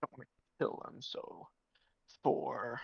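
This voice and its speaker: A man's voice: medium pitch, steady tone, slow, gravelly, a little mellow, breathy edge, dry recording. A man talking casually as a player in a tabletop game, recorded on a lo-fi microphone. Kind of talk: casual chat during a game. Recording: dull, dry lo-fi microphone.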